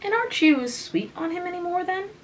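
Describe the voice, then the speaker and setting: A woman's voice: extremely high pitch, lively intonation, fairly quick, recorded from a distance, pitched above the performer's own voice. A woman voicing a blunt, careless young woman who speaks in a teasing, matter-of-fact way.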